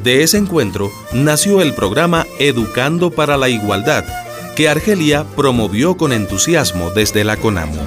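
Animated male radio drama narrator. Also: mid-range voice, normal speed, music in the background. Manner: dramatic, clearly phrased.

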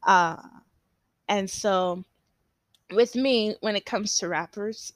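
A young woman talks slowly through her solo podcast, meandering and hesitant with lots of fillers and restarts. Her voice is a little stuffy from allergies. The sound is close and dry, with no room around it. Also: mid-range voice, no echo. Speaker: podcast host. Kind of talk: casual solo podcast chat.

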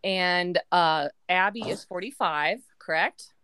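A woman in her forties hosting a casual family podcast, chatty and quick to laugh. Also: fairly low pitch, sharp tone, clean tone, no room sound. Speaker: podcast host. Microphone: remote call.

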